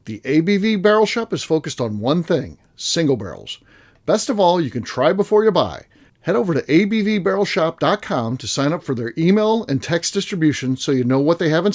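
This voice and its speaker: A man's voice: a little high, a little fast. A man co-hosting a podcast, reading sponsor spots and joking with guests in casual chat. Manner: lively, joking, reads sponsor ads. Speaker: podcast co-host.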